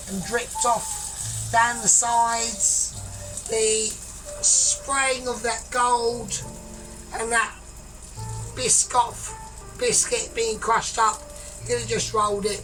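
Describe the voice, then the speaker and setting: A woman with a croaky voice, chatting casually while she cooks in her home kitchen, rambling and tagging "right?" onto her sentences as she judges a bake-off. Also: on the high side. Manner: rambling, keeps asking "right?", repeats herself. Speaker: home cook and vlogger. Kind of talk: casual cooking commentary, judging a bake-off. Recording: distant mic with clear music behind. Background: music.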